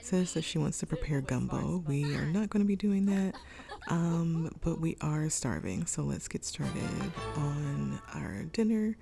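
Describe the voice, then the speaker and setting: A young woman with a raspy voice from a cold, chatting her way through a life-sim game with lots of ums. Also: low-pitched, big pitch swings, a little slow, mellow, faint music behind. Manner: chatty, thinking aloud with many ums. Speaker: gaming YouTuber. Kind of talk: casual let's-play commentary. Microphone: desk microphone.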